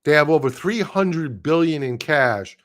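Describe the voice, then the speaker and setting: A man hosting a live market stream, talking through charts in a blunt, opinionated way with casual slang, his voice going hoarse and breaking into throat-clearing. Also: fairly high pitch, expressive, measured pace, fairly bright tone. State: losing his voice.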